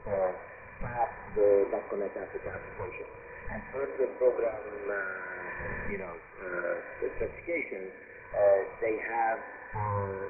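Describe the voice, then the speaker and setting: A man answering interview questions as a spokesman, halting and hesitant with frequent uhs. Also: lowish voice, phone-quality sound. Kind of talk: live radio interview answers. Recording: noisy thin shortwave radio reception.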